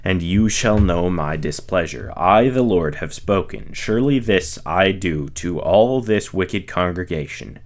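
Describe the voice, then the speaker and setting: Low-voiced man reading scripture aloud. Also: expressive, a little slow, few pauses. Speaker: reader of a Bible audiobook series. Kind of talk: scripture read aloud, expressive narration.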